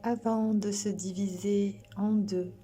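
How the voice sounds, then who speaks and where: A woman leads a guided sleep meditation in a soft, breathy, near-whispered voice. Her tone is dark and mellow, and her delivery is very unhurried and flat, with long soothing pauses. Gentle music plays behind her. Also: medium pitch. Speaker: yoga nidra instructor.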